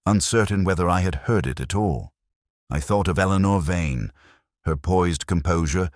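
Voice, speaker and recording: A man reading first-person detective fiction in a brooding, measured, literary manner. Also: sits low, dry recording, clean studio sound. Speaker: narrator of detective mystery.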